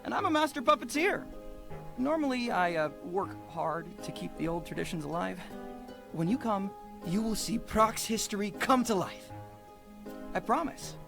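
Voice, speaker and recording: Casting: bashful, earnest young puppeteer, stammering, gravelly edge, game dialogue over music. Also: male voice, high-pitched, animated, normal speed. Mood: proud, earnest. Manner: hesitant, stammering. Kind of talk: video game voice acting.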